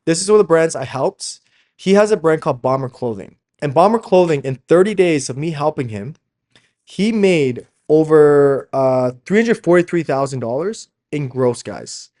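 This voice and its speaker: A man's voice: fairly high pitch, lively intonation, normal speed, darkish tone. A man, a Slovak speaker, coaching informally and emphatically in a motivational sales video, often checking in with listeners. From Slovakia, moved to Canada as a young child. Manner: emphatic, addresses listeners as guys, constant tag questions. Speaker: fashion brand founder and coach.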